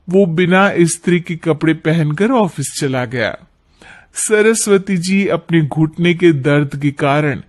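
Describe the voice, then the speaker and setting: A man reads a scripted cartoon story as narrator. His voice is fairly high for a man, and he takes his time.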